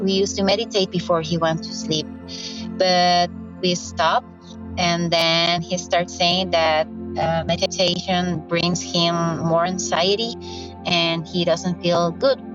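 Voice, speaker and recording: A woman from Costa Rica asks her question carefully and sincerely, as a listener calling in. Her voice sits a little low. The recording is dull and lo-fi, with clear music playing underneath. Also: steady tone, measured pace. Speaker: caller.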